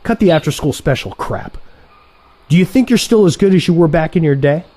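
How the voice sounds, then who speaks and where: Casting: male actor for brash younger assassin, audio drama, soft-edged timbre, very animated intonation, needling and sarcastic. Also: on the high side, a little fast. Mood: needling, eager. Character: excitable, cocky younger assassin, male trainee turned rival. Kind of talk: audio play dialogue.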